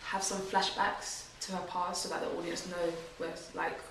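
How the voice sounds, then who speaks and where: A young woman giving quick, eager suggestions in an interview. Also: fairly low pitch, flat delivery, creaky, background noise. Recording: very echoey room, far from mic.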